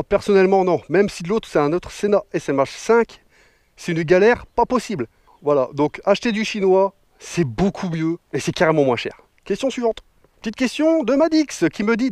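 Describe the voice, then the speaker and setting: Man, thirties, from northern France, high voice, casual slangy biker chat, dry action-camera sound. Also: darkish tone, dry recording. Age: 30s. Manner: joking, chatty, with slang. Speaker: motorcycle vlogger. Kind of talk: casual Q&A vlog. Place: outdoors beside his motorbike. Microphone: action camera mic.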